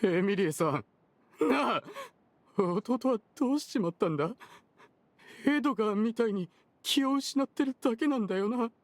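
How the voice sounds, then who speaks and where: An actor playing a distraught, gruff older brother in an anime game. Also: mid-range voice, expressive, normal speed, dry recording, lightly acted. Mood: stricken disbelief.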